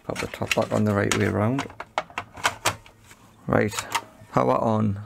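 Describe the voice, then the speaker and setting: A man's voice: fairly low pitch, fairly even intonation, takes it slowly, vocal fry, background noise. A man giving hands-on repair commentary, thinking aloud in a tentative way as he works. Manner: tentative, talks to himself while working. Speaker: repair video presenter.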